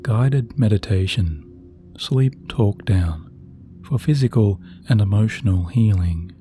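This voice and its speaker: A man narrating a sleep meditation in a soft, gentle, soothing voice with slow, measured phrasing. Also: lowish voice, lively intonation. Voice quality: soft, very dark and mellow. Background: relaxing background music.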